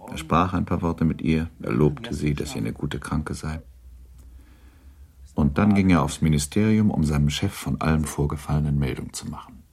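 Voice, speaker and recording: An extremely low male voice with a husky edge narrates a literary radio play. The delivery is sober and measured, with a dark tone.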